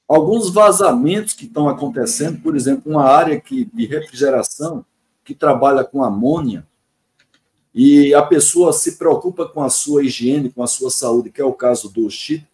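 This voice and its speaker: Man in his 60s, Brazilian accent, fairly high-set voice, podcast guest, earnest explanatory delivery, emphatic listing. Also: a little mellow. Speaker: podcast guest, engineering consultant. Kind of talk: explanatory interview answer, semi-formal.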